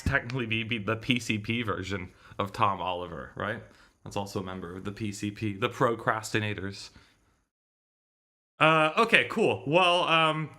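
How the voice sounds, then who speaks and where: Bright-voiced man rambling on a livestream. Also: on the low side. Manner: rambling, digressive, self-correcting. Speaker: live streamer hosting a visual novel readalong. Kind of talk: casual livestream chat.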